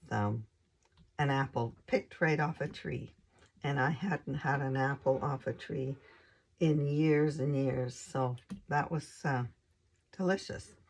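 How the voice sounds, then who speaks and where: Slow, hoarse woman with a gravelly voice. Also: low voice. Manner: unhurried, hesitant, lots of ums. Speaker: craft channel host. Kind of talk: casual show-and-tell of scrapbook pages.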